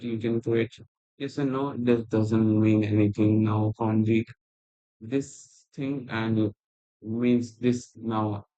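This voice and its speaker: A man teaching a coding tutorial while screen recording, talking in a halting, rambling way with non-native phrasing, recorded on a laptop's built-in mic. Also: medium pitch, mellow, highs cut off. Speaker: programming tutor. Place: at a computer, indoors.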